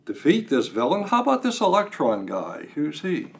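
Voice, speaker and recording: An older man chatting as an interview guest, talking in a halting way with self-deprecating humor. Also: fairly high pitch, huge pitch swings. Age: 60s or older. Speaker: guest writer. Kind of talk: casual interview. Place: radio studio.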